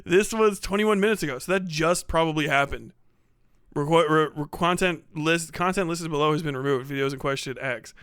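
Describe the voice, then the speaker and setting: Male streamer, slightly high bright voice, loose sweary chat with viewers. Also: brisk, clean tone, no room sound, clean studio sound. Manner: profane, slangy. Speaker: livestream host. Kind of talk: casual livestream chat, reading and answering viewer comments.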